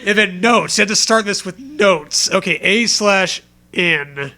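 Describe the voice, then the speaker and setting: A man's voice: high voice, expressive, unhurried, noisy background. A man co-hosting a comedy show, mockingly reading fan fiction aloud with sarcastic, profane banter.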